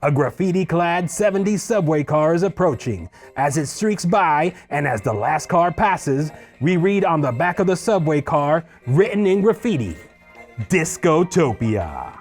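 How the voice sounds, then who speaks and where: A man reading screenplay stage directions in a husky voice, as scripted narration at a table read. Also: fairly high pitch, big pitch swings, unhurried, faint music behind.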